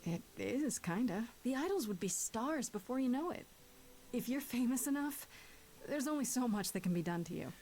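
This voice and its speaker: A woman's voice: fairly high pitch, animated, normal speed, darkish tone, slight background noise, lightly acted. Woman as frank, wry young muse. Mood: thoughtful. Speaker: voice actor. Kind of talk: casual, natural game dialogue.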